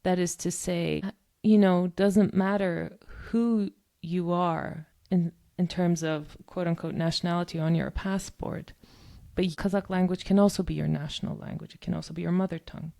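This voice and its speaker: A woman's voice: on the low side, fairly even intonation, moderate pace, vocal fry, dark tone. A woman co-hosting a discussion podcast, talking in long, thoughtful stretches full of "um" and "you know". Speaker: podcast co-host.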